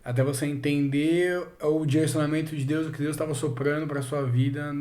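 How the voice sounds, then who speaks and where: Quick São podcast host. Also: male voice, a little high, fairly even intonation, darkish tone. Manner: casual questioning with slang. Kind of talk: casual chat.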